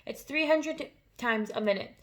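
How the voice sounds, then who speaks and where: Woman voicing a frantic, high little girl. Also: a little slow, fairly bright tone. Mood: eager. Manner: breathless pleading cries. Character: anxious excitable young girl, clever.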